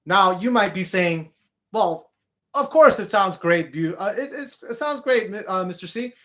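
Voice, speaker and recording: Singing teacher ending every phrase with "okay?". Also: male voice, expressive, a little slow, a bit bright. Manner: demonstrates sung notes, tags nearly every phrase with "okay?"; deep speaking voice. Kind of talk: video singing lesson.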